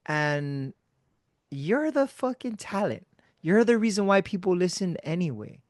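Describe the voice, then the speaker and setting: A man with a slightly high voice talks easily with an old friend on his podcast. He is laid-back and casual, swears now and then, and often lets his sentences fade into quiet mumbles. The sound is close and dry, with no room echo. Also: animated, measured pace. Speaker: podcast host.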